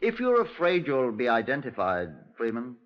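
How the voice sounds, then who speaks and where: Casting: British detective inspector, dry and authoritative, very varied intonation, dull vintage radio crime recording. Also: male voice, medium pitch, wildly swooping pitch, normal speed. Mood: firm, patient. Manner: clipped, polite, dryly authoritative. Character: dry, firm male Scotland Yard police inspector. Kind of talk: 1950s radio crime drama acting. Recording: muffled 1950s radio recording.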